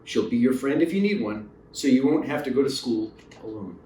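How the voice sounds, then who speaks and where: A man's voice: mid-range voice, expressive, brisk, dark tone, a little hiss or hum, close to an everyday voice. A man voicing a kindly, persuasive father, patient and coaxing, in a children's story with a roomy, distant recording. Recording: roomy echo, distant mic.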